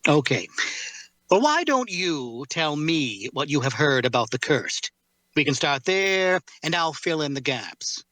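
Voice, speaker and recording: A man playing a formal, guarded cursed warrior in an audio drama table read, speaking courteously in measured, deliberate phrases. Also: fairly high pitch, very animated, bright, forceful tone, no room sound, full character voice. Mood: probing, composed.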